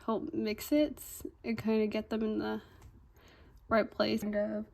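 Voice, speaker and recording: A woman's voice: medium pitch, monotone, unhurried, creaky, a little mellow. A young woman vlogging a toy unboxing in a casual, chatty way, full of "like" and "um", sounding a little congested. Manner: chatty, fillers "like" and "um", easily delighted. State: slightly congested.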